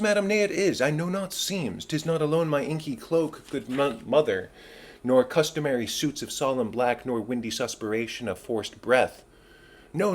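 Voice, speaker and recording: A man's voice: mid-range voice, husky. A man playing a bitter, grieving young prince in an intense, theatrical Shakespeare reading.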